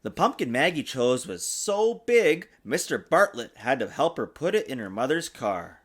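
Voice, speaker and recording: A man reading a children's storybook aloud in an expressive, sing-song way, as a father does for his young child. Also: medium pitch, moderate pace. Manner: patiently answers child's interruptions. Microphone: desktop USB microphone.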